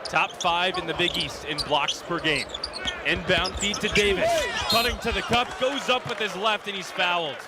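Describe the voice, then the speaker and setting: A male radio sportscaster gives live basketball play-by-play. His voice sits high and carries plenty of energy. Very loud arena ambience runs behind him. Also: normal speed, very noisy. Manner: energetic, rising excitement on big plays. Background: basketball arena with bouncing ball.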